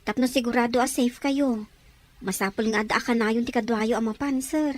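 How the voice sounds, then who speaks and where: A woman's voice: a little high, normal speed, natural voice. A woman with an Ilocano accent acting a dutiful, worried young student in a radio drama. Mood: concerned, respectful.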